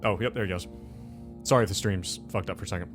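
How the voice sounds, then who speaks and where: A man's voice: sits low, quick pace. A man acting as game master, narrating a tabletop game in a casual, conversational and sometimes profane way. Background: music.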